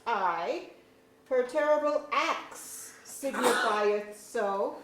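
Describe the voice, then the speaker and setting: A woman playing a scornful woodland fairy in a staged verse drama, sneering in deliberate archaic phrases. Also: fairly high pitch, a bit bright. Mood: mocking. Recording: echoey auditorium.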